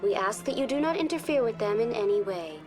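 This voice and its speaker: An actress playing a polite virtual tour guide program on a space station, speaking in formal, measured informative narration for a video game. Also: female voice, a little high, expressive, over music, close to an everyday voice. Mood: polite, neutral.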